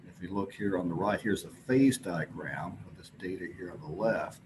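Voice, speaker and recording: A man presenting scientific slides informally, explaining in a halting, hesitant way with pauses. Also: sits low. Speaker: presenter at an astronomy symposium. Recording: clearly noisy recording with background ambience.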